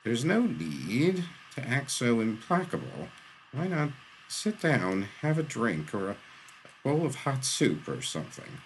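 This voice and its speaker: Storybook casting: ailing male magician, played by a man, testy, wry, winded, halting, with lively swings in pitch. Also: a little hiss or hum, low-quality recording. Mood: dry, wary. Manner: dry, wry, halting delivery.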